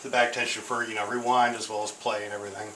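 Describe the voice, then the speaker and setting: A man with a bright, forward voice explains equipment casually and a bit hesitantly. He sounds informal and unscripted, recorded in an echoey room with faint background noise. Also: lowish voice, level tone, fast. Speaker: tape deck restorer demonstrating a machine. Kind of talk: casual unscripted product demonstration. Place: indoor room at home. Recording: roomy, echoing sound with hiss.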